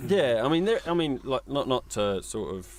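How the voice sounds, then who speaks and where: A man's voice: mid-range voice, sing-song, normal speed. Casual man chatting on a podcast. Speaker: podcast co-host. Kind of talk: casual conversational podcast chat.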